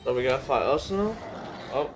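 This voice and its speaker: A man's voice: medium pitch, lively intonation, fairly quick. A young man giving casual, off-the-cuff reaction commentary as a game streamer. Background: faint game music.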